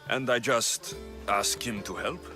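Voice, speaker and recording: An actor playing a confident, wry mercenary hero of ancient Greece in a video game, blunt, dry and self-assured. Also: male voice, mid-range voice, fairly even intonation, a little slow, music in the background, lightly acted. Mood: wry, impatient. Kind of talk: video game cutscene acting.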